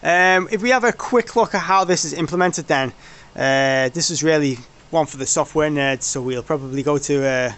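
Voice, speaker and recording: A man's voice: on the high side, normal speed, hardly any pauses, fairly bright tone. A man giving an informal technical walkthrough as a product developer, rambling and correcting himself as he goes. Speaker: product developer presenting a demo.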